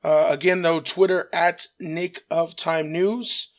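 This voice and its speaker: A man with a raspy voice hosting his own news commentary show, earnest and measured, with frequent "uh" fillers. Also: on the high side, little pitch movement.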